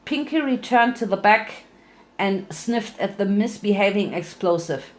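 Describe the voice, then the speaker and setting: Playful woman narrating a story, medium pitch. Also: expressive, a little slow. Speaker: fan fiction reader.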